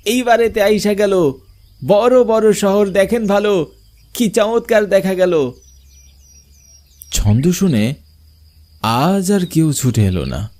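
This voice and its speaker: A man playing a travelling bioscope showman, narrating an eerie cartoon story in the first person with expressive delivery. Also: high-pitched. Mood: chanting. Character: travelling village bioscope showman telling his own eerie tale.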